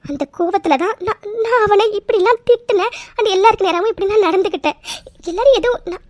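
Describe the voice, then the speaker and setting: A woman's voice: sits high, no room sound. A woman acting a distraught, defiant young office worker in an emotional drama, pleading and repeating her words.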